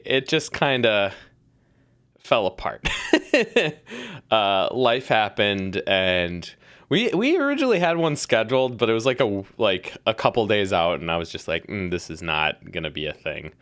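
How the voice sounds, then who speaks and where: A woman's voice: a bass voice, sing-song, unhurried, no room sound, studio quality. A woman hosting a casual live stream, bubbly and rambling, laughing often. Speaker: podcast host.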